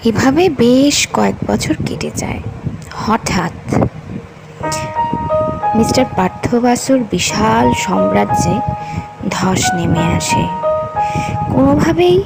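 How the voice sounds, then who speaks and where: A woman's voice: fairly high pitch, lively intonation, slow pace, vocal fry, pauses often, low-quality recording. A woman reading a scripted motivational story as its narrator. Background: music.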